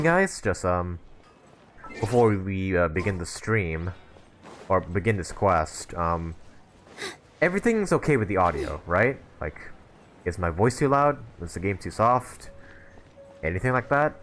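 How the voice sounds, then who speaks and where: A man's voice: low voice, sing-song, a little slow, pauses often, a bit bright, slight background noise. A man streaming a video game live, chatting casually and often rephrasing himself as he comments. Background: game music.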